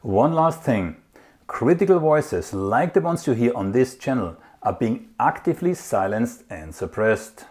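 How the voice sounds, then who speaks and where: A man's voice: medium pitch, sing-song, unhurried, a little mellow, breathy edge. A man hosting a political interview, making an earnest, emphatic appeal to his viewers before questioning his guest. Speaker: interview host. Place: in the Netherlands.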